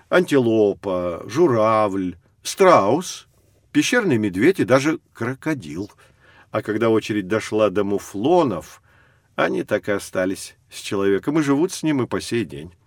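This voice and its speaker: An actor playing a patient, knowledgeable guide, explaining things to children in measured storytelling for an educational audio drama. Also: male voice, fairly low pitch, expressive, no echo, clean studio sound, close to an everyday voice. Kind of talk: scripted educational narration for children.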